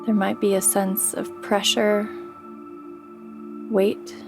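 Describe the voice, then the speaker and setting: A woman guiding a sleep meditation in a gentle, soothing way, with long pauses between her phrases. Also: mid-range voice, level tone, creaky, over music. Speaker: meditation guide. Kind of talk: guided sleep meditation, scripted narration.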